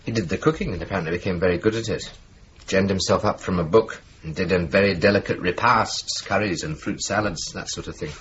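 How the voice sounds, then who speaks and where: An actor playing a mild, earnest young RAF officer, chatty and a little fretful, in a radio drama. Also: male voice, deep voice, brisk, hardly any pauses, fairly bright tone. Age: young adult. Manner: chatty, questioning, mildly complaining. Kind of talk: radio drama acting, conversational dialogue. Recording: muffled, noisy old radio broadcast recording.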